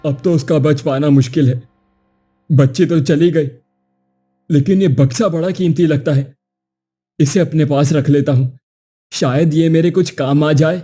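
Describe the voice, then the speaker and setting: A man's voice: on the high side, steady tone, a little slow, pauses often, mellow, breathy edge, soft background music. A man playing a poor, weary, greedy washerman who exclaims and pleads in a cartoon story. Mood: startled, scheming. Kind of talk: Hindi cartoon moral story acting.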